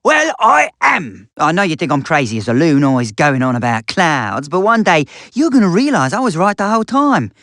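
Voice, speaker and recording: Casting: crotchety, paranoid old crackpot for a game; grumbling folksy delivery that swoops about, dry studio sound. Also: male voice, fairly high pitch, moderate pace, few pauses, no echo, exaggerated voice. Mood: excitable, paranoid. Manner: folksy, rambling, excitable, grumbling. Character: eccentric, cloud-paranoid old man, cantankerous ranting inventor. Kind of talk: video game character acting.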